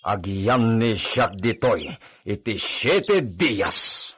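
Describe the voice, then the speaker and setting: A man's voice: on the low side, very animated, drawn-out words, breathy edge, full character voice. A man with an Ilocano Filipino accent playing a harsh, domineering rancher in a radio drama, scolding imperiously. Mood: commanding, curt. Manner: imperious, loud outbursts, scolding. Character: harsh, domineering rancher master berating his servants.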